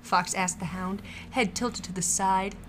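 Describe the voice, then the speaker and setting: A woman reading the narration of an audio drama, calm and even, in a middle pitch. A faint background hiss sits under her voice. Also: measured pace. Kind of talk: storybook narration.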